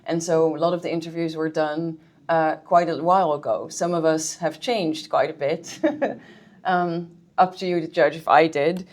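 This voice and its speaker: A woman's voice: sits low, steady tone, normal speed, a little mellow. A woman with a Dutch accent giving a friendly, chatty history talk to a library audience as a guest historian. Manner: friendly, chatty, with filler ums and restarts. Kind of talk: public history talk with slides. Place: public library meeting room.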